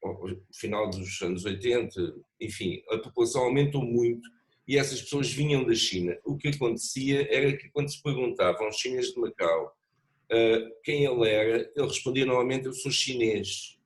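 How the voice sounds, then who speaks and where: A middle-aged man talks through his ideas on a discussion panel in a measured, reflective way. He hesitates often, restarts his phrases, and speaks in an even, mid-pitched voice. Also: poor audio. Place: lives in Macau.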